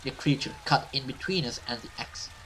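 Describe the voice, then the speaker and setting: A man's voice: mid-range voice, fairly quick, a bit bright, slight background noise, rough recording. A man reading a horror visual novel aloud as a gamer, narrating it theatrically. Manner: theatrical, with casual swearing asides. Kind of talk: dramatic let's play narration of a horror story.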